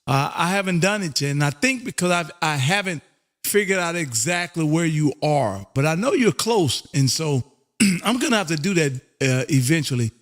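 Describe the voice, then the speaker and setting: An American man hosting a ham radio stream, chatting in a loud, folksy, chummy way and often saying "man". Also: on the high side, animated, moderate pace. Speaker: amateur radio operator and livestream host. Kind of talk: casual ham radio contact chat.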